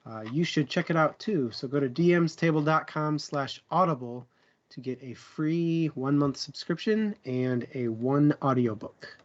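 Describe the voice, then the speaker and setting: Muffled, higher-voiced guy co-hosting a stream. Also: moderate pace. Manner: jokey, fond of puns. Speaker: co-host of a book discussion show. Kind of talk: casual livestream chat and plugs.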